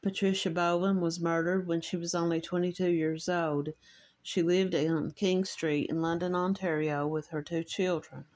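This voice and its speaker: Lower-voiced Appalachian woman narrating true crime. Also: little pitch movement, a little mellow. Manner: measured, slightly halting reading with thinking-aloud asides. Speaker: true crime podcast host. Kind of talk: reading a true crime story with personal asides.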